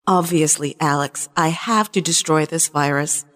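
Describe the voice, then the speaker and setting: A woman's voice: sits low, normal speed, natural voice. A woman playing a shapeshifting alien spy in an audio drama, a conflicted lover pleading her case earnestly. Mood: tender, pleading. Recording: long reverberant hall sound.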